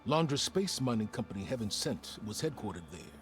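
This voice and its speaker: A scholarly, secretive adviser in a video game. His voice sits low and his phrasing is precise and formal, like careful exposition. Music plays clearly behind him. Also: very animated, brisk. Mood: measured, explanatory. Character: measured, erudite man, secretive scholarly mission adviser. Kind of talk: video game dialogue acting.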